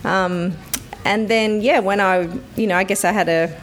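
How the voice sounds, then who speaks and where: This is a woman in her thirties with a youthful, light voice, talking casually as an interview guest. Her pitch sits in the middle for a woman, and she keeps things lively by dropping into quick playful voices when she quotes people. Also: low-quality recording. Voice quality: young-sounding voice. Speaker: children's entertainer guest.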